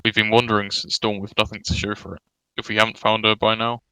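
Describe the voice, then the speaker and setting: Man acting a gravelly, sensible elder brother pony. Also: lowish voice, level tone, quick pace, bright, forceful tone, dry recording, poor audio. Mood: weary, reasoning. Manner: level-headed, chiding. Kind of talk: fan fiction audio reading, character voice.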